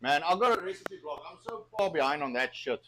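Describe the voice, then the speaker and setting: A man's voice: fairly high pitch, animated, fairly quick, crowd noise. A South African man hosting a cooking stream, chatting casually and joking with filler words while he cooks. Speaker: cooking show host.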